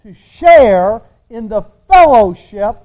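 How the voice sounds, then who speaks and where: A woman preaching a sermon, quoting scripture with emphatic, dramatic pauses and swings from hushed to loud. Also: a little high, lively intonation, poor audio. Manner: repeats phrases for emphasis.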